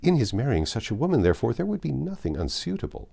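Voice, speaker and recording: A man's voice: medium pitch, wildly swooping pitch, fast, gravelly, warm tone, voice pushed up, heavily acted. A man voicing a passionate, dramatic girl of seventeen from a period novel, earnest and emphatic. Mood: indignant, emphatic.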